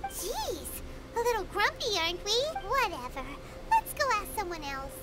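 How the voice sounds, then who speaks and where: A woman voicing a small, perky, childlike fairy companion in a video game, squeaky and chatty. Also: huge pitch swings, moderate pace, exaggerated voice. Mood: miffed. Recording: game music over the voice. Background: loud game music.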